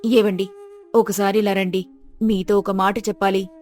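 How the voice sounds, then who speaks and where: A compassionate village wife from a cartoon moral story, acted by a woman. She speaks at a middle pitch with earnest, emotional phrasing. Soft music plays behind her. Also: level tone, unhurried, natural voice. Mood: moved, distressed. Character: compassionate, heartfelt village wife, visiting guest.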